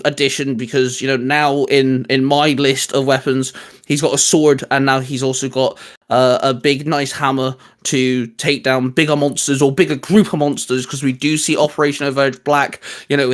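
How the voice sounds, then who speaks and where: A man's voice: medium pitch, fairly bright tone. A man co-hosting a casual fan discussion, talking in a rambling, enthusiastic way. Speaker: co-host of a fan discussion show. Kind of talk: casual chat about geeky topics.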